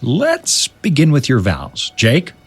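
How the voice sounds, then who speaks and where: A man playing a solemn, kindly wedding officiant with a measured, ceremonial manner in a children's audio drama. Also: mid-range voice, wildly swooping pitch, breathy edge, full character voice.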